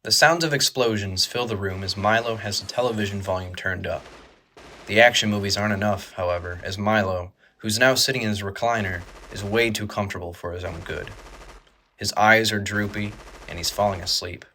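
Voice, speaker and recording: A man's voice: fairly low pitch, steady tone, a little fast, sharp tone, a little hiss or hum. A man reading scripted dramatic narration for an audio drama.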